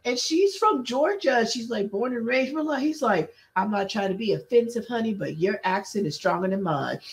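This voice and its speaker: A woman's voice: on the high side, moderate pace. A woman from the American South co-hosting a casual podcast, telling stories animatedly and thanking listeners. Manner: animated storyteller, frequent affirmations. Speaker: podcast co-host. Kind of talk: casual chat.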